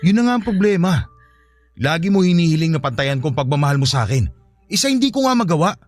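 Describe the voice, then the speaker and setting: A man's voice: a little high, expressive, fast, soft background music, close to an everyday voice. A man acting in a radio drama as a weary father leaving his partner, speaking bluntly and defensively.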